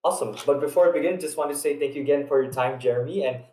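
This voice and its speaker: A man's voice: medium pitch, very fast, a little mellow, reverberant. A young man in his twenties from Saipan, a student guest who asks questions politely and earnestly. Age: 20s. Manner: polite, earnest, some restarts. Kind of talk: interview answers and questions.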